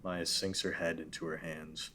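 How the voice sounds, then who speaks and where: A man's voice: rumbling low, flat delivery, moderate pace, pushed and bright, slight background noise. A man reading the stage directions aloud in a casual table read.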